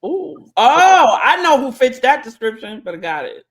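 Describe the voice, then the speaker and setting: A woman co-hosting a podcast, telling stories in an animated way and acting out both sides of conversations. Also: sits high, normal speed. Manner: animated, acts out conversations in quotes. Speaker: podcast co-host. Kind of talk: casual storytelling chat.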